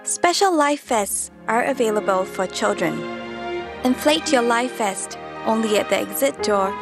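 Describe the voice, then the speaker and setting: A woman reading scripted airline safety instructions in a measured, instructional way. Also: on the high side, animated. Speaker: narrator of an airline safety video. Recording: music behind the voice. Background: background music.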